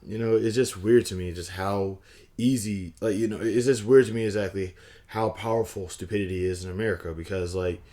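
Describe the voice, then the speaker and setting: A hoarse man vlogging casually, rambling with frequent restarts and a wry, conversational manner. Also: on the low side, steady tone, brisk, dark tone. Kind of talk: casual talking-head vlog.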